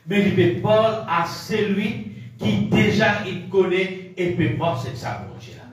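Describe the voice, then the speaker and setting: A middle-aged man with a Mauritian accent preaching a sermon in short, repeated, rhythmic phrases, recorded from a distance in a small indoor room. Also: sits high. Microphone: camera at a distance across the room. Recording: echoey room picked up from far off.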